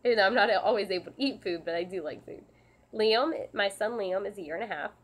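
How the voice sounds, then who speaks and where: A woman with a stuffed-up nose and a scratchy throat from a sinus infection, hosting a casual live question-and-answer stream, speaking in a halting, earnest way with frequent restarts and ums. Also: mid-range voice. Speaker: service dog handler and channel host.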